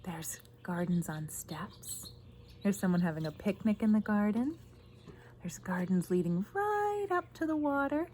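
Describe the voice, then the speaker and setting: A woman's voice: medium pitch, lively intonation, a little slow, a little mellow, a little hiss or hum. A woman in her thirties reads a picture book aloud like a teacher, expressively, stressing key words and adding asides for young listeners. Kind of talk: children's read-aloud with commentary on the pictures. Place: outdoors in a garden.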